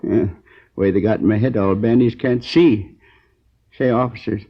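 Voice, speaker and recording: An actor playing a frail, dazed grocer in his sixties, halting and querulous, in a 1950s radio drama. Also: on the low side, slightly rough. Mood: dazed, weak. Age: 60s. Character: frail, dazed elderly injured grocer in hospital. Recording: dull vintage radio recording.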